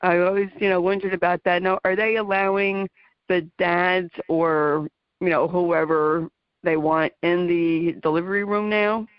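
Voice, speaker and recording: A woman's voice: fairly low pitch, steady tone, measured pace, smooth. A woman from New Jersey with a New Jersey accent hosting a radio talk show, effusive and chatty, with many fillers. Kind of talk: casual interview chat. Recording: muffled dry radio audio.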